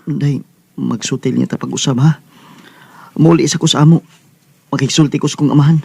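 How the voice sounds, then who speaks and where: A man's voice: medium pitch, steady tone, fairly quick, gravelly, darkish tone, close to an everyday voice. An actor playing an earnest, hesitant young working man who dreams of boxing, in a Bisaya radio drama. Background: music under the voice.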